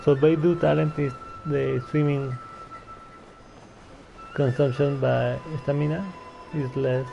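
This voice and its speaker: A man giving casual gameplay commentary as a streamer, in a gravelly voice through a dull microphone. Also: mid-range voice, level tone, takes it slowly, pauses often. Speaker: game streamer. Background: faint game music.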